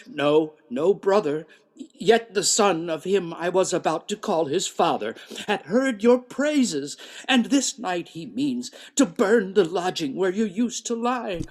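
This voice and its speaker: An actor playing an elderly, loyal and anxious male servant in a Shakespearean radio play, earnest and halting in old-fashioned verse. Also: mid-range voice. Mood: alarmed, grieving.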